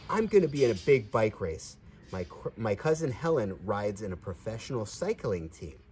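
Man playing an excited young horse, very animated. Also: fairly low pitch, normal speed, recorded from a distance, a little hiss or hum. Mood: eager. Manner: eager, announcing.

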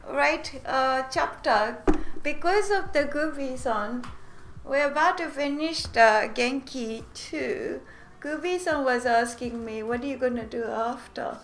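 A high-pitched woman with a Japanese accent teaches a live online language class in an echoey room. She is a bubbly native Japanese speaker who chats with her viewers as she goes. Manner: bubbly, giggly, switches between languages. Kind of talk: live-streamed lesson with chat greetings.

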